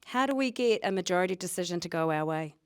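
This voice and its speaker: A woman's voice: fairly low pitch, lively intonation, fast, clean tone, no room sound, clean studio sound. A woman speaking emphatically and argumentatively at a public forum, repeating words for stress.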